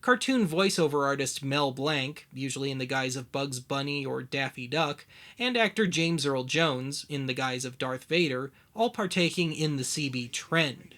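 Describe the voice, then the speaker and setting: A man narrating a scripted documentary as its host, with wry, deadpan humour. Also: mid-range voice, normal speed.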